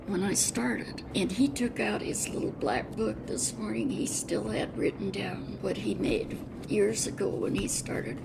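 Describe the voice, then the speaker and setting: An American woman in her eighties or older recalls her past off the cuff, in a slow, halting delivery. Her voice is gravelly and plain-spoken, and she pauses reflectively mid-sentence. The recording has clear background noise. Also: mid-range voice. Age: over 80. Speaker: interviewee, retired railroad telegrapher. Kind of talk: unscripted oral-history reminiscence.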